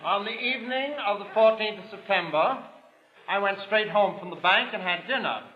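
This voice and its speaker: A man's voice: sits high, moderate pace, close to an everyday voice. An actor playing a prim, precise male bank employee giving formal testimony in an old radio drama. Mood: earnest, exact. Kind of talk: 1940s-50s radio drama acting. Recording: muffled vintage radio recording.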